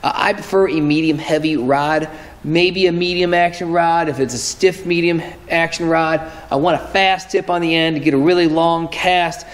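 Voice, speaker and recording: A man's voice: on the high side, fairly even intonation, normal speed. A man in his thirties hosting a fishing show, explaining his methods in a casual, explanatory way and correcting himself as he goes, filmed indoors in a tackle room close to the camera. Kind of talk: instructional fishing talk to camera. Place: indoor tackle room with lure-covered wall.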